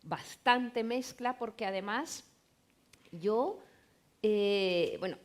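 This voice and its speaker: A woman's voice: medium pitch, very slow. A middle-aged woman novelist whose first language is Romanian, speaking Spanish on a literary panel. She tells stories animatedly, with playful asides. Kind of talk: conversational panel talk.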